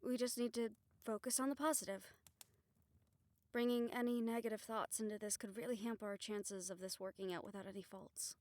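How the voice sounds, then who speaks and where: A woman playing a calm, reassuring witch who leads a ritual in an audio roleplay, steady and encouraging as she gives instructions. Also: on the high side, brisk, dry recording, lightly acted. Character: calm, reassuring female witch leading a soul-bond ritual.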